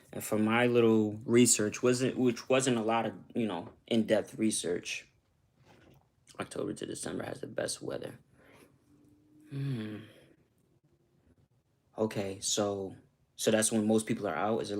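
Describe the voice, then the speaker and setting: A man from Costa Rica, a YouTuber, chatting loosely with his viewers and often asking "you know what I'm saying". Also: lowish voice. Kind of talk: casual livestream chat with viewers.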